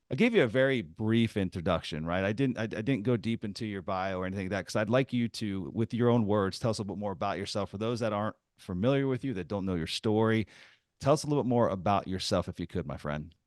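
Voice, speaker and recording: Energetic podcast host man, dry sound. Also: dry recording. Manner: energetic pitch, then rambling restarts when asking. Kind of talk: podcast interviewing and read ad.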